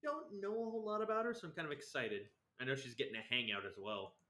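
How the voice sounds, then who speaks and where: A man's voice: medium pitch, lively intonation, fast talker, bright, forceful tone. A young man giving casual, sometimes sweary livestream commentary as he plays a game. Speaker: game streamer. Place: indoor room. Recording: echoey room.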